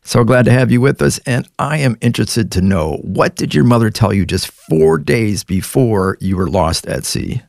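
A man hosting an interview, reading a scripted introduction and asking measured, attentive questions. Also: fairly low pitch, expressive, gravelly, a little mellow, no echo, studio quality. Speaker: host.

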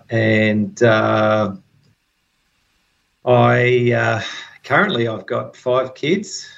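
A man in his fifties with an Australian accent, an interview guest telling his story in a relaxed way with many ums and uhs. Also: mid-range voice, gravelly, highs cut off. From Sydney, Australia. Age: 50s.

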